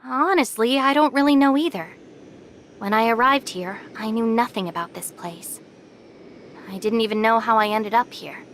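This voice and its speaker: A woman voicing an earnest, cautious young heroine in an anime game, measured and sincere. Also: a little high, slight background noise, natural voice. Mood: sincere, unsure.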